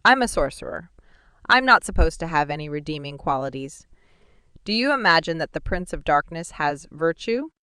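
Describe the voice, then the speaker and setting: A cruel sorcerer father taunts his captive daughter with cool, sneering amusement, as a woman reader puts him on. The voice sits low and smooth, read close to the mic in a dead-dry studio. It unfolds like a dark fantasy audiobook. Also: very animated, moderate pace, no echo, exaggerated voice. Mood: taunting. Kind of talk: audiobook dialogue reading.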